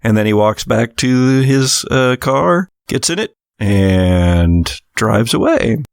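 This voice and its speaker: A man's voice: medium pitch, expressive, slow pace, creaky, smooth, no echo, clean studio sound. A man acting as game master, narrating scenes for a tabletop horror game in a conversational, descriptive way.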